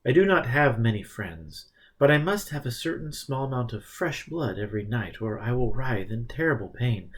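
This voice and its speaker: A man voicing a timid, desperate vampire from Transylvania for an audiobook, in halting, apologetic English with a Transylvanian accent. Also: medium pitch, sing-song, slightly rough. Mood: desperate pleading. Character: shrinking, pleading male vampire from Transylvania, desperate and polite.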